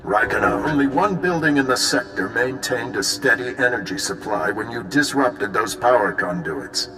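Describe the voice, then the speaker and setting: An actor playing a decisive, businesslike spy chief briefing an agent in a video game. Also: male voice, mid-range voice, lively intonation, moderate pace, bright, forceful tone, long reverb tail, soft background music. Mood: brisk, urgent. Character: gruff, brisk male spy chief giving mission briefings. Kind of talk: video game cutscene acting.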